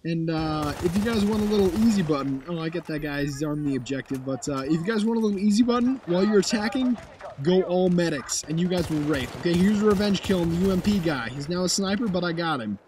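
A hoarse young man commenting on his own gameplay footage, blunt and sarcastic, swearing now and then. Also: sits high, lively intonation, fast, noisy background. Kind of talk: casual game commentary over footage.

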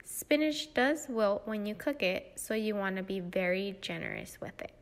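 Young adult woman, mid-pitched, instructional cooking demo host, explains each step. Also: lively intonation, moderate pace.

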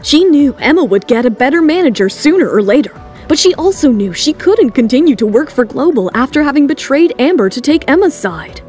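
A woman's voice: sits high, sing-song, fairly quick, darkish tone. A woman reading scripted, dramatic third-person narration for an audio drama. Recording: music bed under the voice. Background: background music.

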